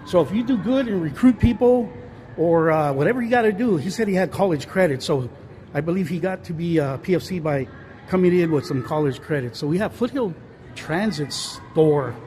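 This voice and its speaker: A man's voice: a little high, expressive, normal speed, a little mellow, slight background noise. A man narrating a casual walking tour of an indoor shopping mall, rambling with many fillers and restarts, recorded on a handheld phone. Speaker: vlogger touring a mall. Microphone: handheld phone camera, speaker off screen.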